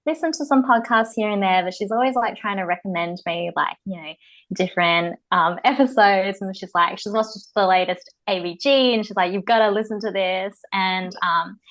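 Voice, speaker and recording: A woman's voice: mid-range voice, moderate pace, a bit bright. A woman in her twenties with an Australian accent, a podcast guest chatting casually with lots of "um" and "like" and easy giggles. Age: 20s. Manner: chatty, many fillers, giggly. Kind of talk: casual chat.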